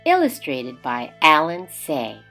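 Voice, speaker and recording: A woman with a slightly low voice reads a children's story aloud. Her delivery is unhurried and deliberate, with expressive swings in pitch that give emphasis for young listeners. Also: soft background music. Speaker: storyteller reading a picture book aloud.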